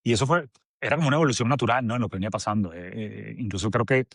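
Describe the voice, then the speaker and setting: A man's voice: on the low side, racing, gravelly, fairly bright tone, no room sound, studio quality. A man in his forties from Venezuela with a Venezuelan accent, a guest speaking casually with lots of fillers and restarts. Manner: rambling, many fillers and restarts. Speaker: agency director, guest. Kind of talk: casual conversational interview.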